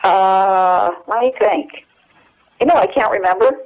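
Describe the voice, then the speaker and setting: An older woman reminiscing as an interview guest over a telephone line, with many pauses and restarts. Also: mid-range voice, expressive. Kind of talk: casual phone interview.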